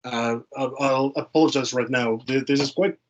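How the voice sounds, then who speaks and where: A man's voice: medium pitch, fairly even intonation, normal speed, dull audio, slight background noise. A man, speaking informally in a webinar discussion and often repeating words as he thinks. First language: Finnish. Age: late 20s.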